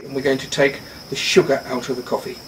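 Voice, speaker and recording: A man's voice: mid-range voice, level tone, quick pace, far from the mic, noisy background. A man guiding a tour of his coffee farm, patiently explaining step by step with the odd filler word, recorded outdoors. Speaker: coffee farm owner and guide.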